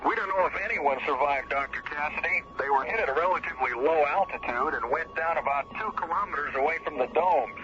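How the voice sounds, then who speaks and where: A man plays a brisk, commanding space pilot calling in with clipped military talk. His voice is fairly high. Loud engine noise fills the dull, lo-fi recording. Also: lightly acted. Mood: sober reporting. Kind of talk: radio drama acting.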